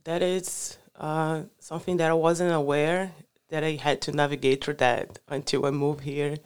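A man speaks in a smooth, resonant voice. He answers questions slowly and haltingly, filling gaps with "uh" and "like" as he hunts for the right words. His pitch sits a little high and barely moves. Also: little pitch movement. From Brazil. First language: Portuguese. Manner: halting, searching for words with many fillers. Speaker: guest. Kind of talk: casual interview answers.

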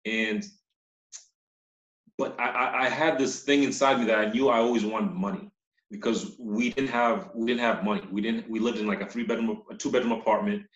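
A man from Uganda who moved to the US as a child, talking casually as an interview guest, halting and repeating words. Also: on the low side, steady tone, fairly bright tone. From Uganda, moved to Massachusetts as a child. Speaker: interview guest, company CEO. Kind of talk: casual conversational storytelling. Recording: echoey room, dull muffled sound.

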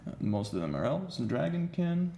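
A man's voice: fairly high pitch, big pitch swings, brisk, gravelly, dark tone, a little hiss or hum. A man narrating as game master in a loose, casual way with fillers, recorded in an echoey room.

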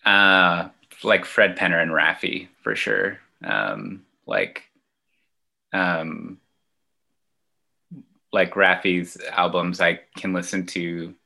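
A man from Ontario, a children's musician, answering interview questions in a relaxed, hesitant way with many fillers and restarts. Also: sits low, takes it slowly, vocal fry, long pauses. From Ontario, Canada. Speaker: children's musician and outdoor educator.

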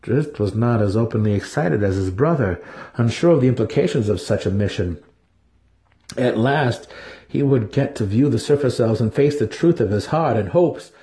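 A man's voice: medium pitch, lively intonation, moderate pace, dark tone. A man narrating a fantasy audiobook as a dramatic scripted reading.

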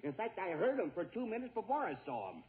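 Flustered middle-aged radio-comedy dad: high, swooping, sputtering; tinny vintage broadcast. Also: male voice, wildly swooping pitch, quick pace. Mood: indignant. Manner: sputtering, stammering, indignant. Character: flustered, exasperated middle-aged father of a teenage son. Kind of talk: 1940s radio sitcom acting.

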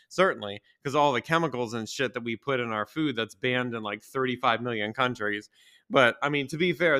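A man's voice: medium pitch, bright, forceful tone. Stuffy-voiced man hosting a podcast. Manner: rambling, crude, jokey. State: stuffy with a cold. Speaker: podcast host. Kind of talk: casual solo podcast monologue.

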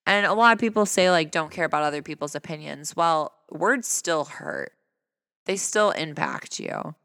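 Man, earnest vlogger, smooth voice, fairly high for a man, low end of pitch range, long reverb tail. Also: pushed and bright, clean studio sound. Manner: earnest, conversational, tag questions and rhetorical questions. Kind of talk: personal reflective talk to camera.

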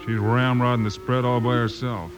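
An actor playing a calm, brave marshal in an old radio western, matter-of-fact and commanding, with an American accent. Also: male voice, medium pitch, moderate pace, music in the background, lightly acted. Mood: investigating. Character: calm, steady, brave frontier U.S. marshal hero. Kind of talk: 1950s radio western acting.

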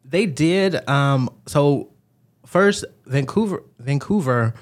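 A man's voice: on the high side, lively intonation, takes it slowly. A young man from Georgia with a Southern accent, chatting casually as a podcast co-host. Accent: Southern American. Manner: chatty storyteller, frequent likes and ums. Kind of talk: casual chat.

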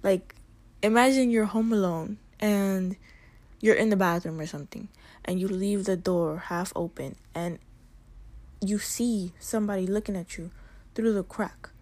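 A woman's voice: mid-range voice, measured pace, darkish tone. A young woman hosting a casual chat, describing her fears and a cartoon in a chatty, vivid way. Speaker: host. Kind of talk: casual talk.

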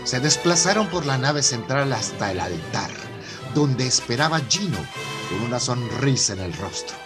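A man's voice: medium pitch, big pitch swings, normal speed, over music, close to an everyday voice. A man with a Venezuelan accent narrating a melodramatic radio soap in a theatrical storytelling manner. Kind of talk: scripted radio drama narration.

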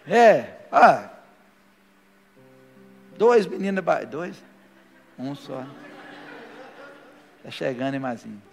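A man's voice: a little high, big pitch swings, slow pace, gravelly, long pauses. Preaching man in a reverberant church. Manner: preaching, rising to fervent shouted declarations. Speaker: pastor. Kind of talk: sermon and closing prayer. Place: church hall, live before a congregation. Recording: long hall reverb with faint music.

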